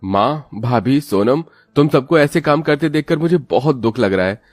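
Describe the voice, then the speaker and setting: A man's voice: mid-range voice, animated, normal speed, darkish tone, no echo, faint music behind, lightly acted. An actor playing a poor, earnest, weary working family man in a cartoon story. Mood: sorrowful. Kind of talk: cartoon moral story acting.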